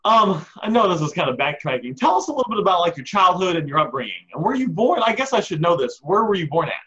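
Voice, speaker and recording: A man's voice: high-pitched, sing-song, quick pace, sharp tone, highs cut off. A man hosting an interview, asking friendly, prompting questions in casual chat. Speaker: interview host.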